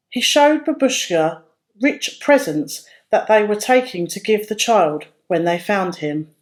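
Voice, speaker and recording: A woman reading a children's storybook aloud as narrator, in a careful, expressive way. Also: medium pitch.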